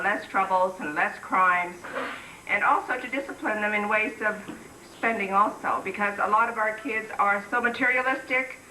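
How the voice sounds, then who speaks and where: Middle-aged filipina lady giving a firm earnest talk, bright voice, picked up far off in a roomy hall. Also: medium pitch, slight background noise. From Philippines. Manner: earnest, firm, lecturing with repeated "okay?". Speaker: symposium panel speaker. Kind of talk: public talk at a community symposium. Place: community center hall, recorded from afar. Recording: echoey hall recording from far off.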